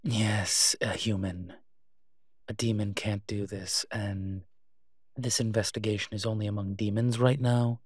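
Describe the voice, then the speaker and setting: A man playing a proud, flirtatious incubus demon professor in an intimate audio roleplay, husky and teasing, with hesitant, drawn-out pauses. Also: fairly low pitch, steady tone, no echo, studio quality. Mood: grudging, pleading.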